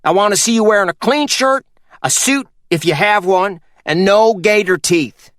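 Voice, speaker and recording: A man's voice: high-pitched, moderate pace, fairly bright tone, no room sound, clean studio sound, voice pushed up. A man voicing a shrewd, bossy older uncle from who orders people around. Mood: commanding. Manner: commanding, scolding.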